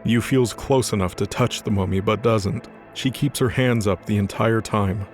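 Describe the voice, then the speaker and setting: A man narrates a dramatized fantasy tale from a script. His voice is on the low side, with music playing softly behind it. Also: moderate pace.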